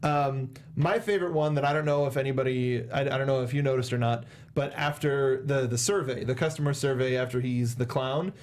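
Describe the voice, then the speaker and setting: A man's voice: medium pitch, a little fast, few pauses, darkish tone. Young man chatting as a playful co-host. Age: young adult. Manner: playfully sarcastic, mock-dramatic. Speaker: talk show co-host. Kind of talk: casual film review chat. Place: small indoor studio. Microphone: close desk microphone with foam windscreen, headset monitoring.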